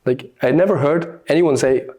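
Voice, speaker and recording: A man's voice: a little high, normal speed, darkish tone. A young man from Austria with an Austrian German accent, a German speaker chatting easily as an interview guest. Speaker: guest influencer. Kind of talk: casual interview chat.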